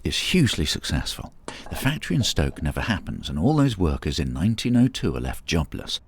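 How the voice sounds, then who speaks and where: An actor playing an eager, theorising man in an audio drama, explaining emphatically in a gravelly, husky voice. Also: sits low, big pitch swings, brisk, heavily acted. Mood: musing, persuasive. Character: David, eager theorising man lecturing on time travel.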